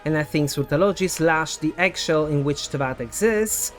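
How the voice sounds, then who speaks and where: A man's voice: on the high side, normal speed. A man presenting scripted game theory commentary in a conversational, explanatory way, as the host of a video essay. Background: background music.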